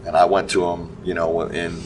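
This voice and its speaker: Man, very low voice, halting and full of fillers, distant mic, faint background hum. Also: completely flat delivery. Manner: halting, many restarts and fillers. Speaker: high school basketball coach. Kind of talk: post-game interview.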